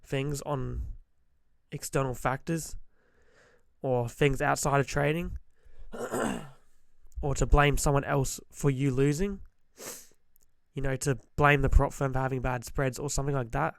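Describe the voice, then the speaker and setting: A man who is a bit sick and slightly hoarse, giving blunt, emphatic trading-psychology advice and clearing his throat often. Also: medium pitch, measured pace, pauses often, no echo. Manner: direct, emphatic, repeats key points. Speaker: forex trader giving advice. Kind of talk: motivational trading-psychology talk to camera.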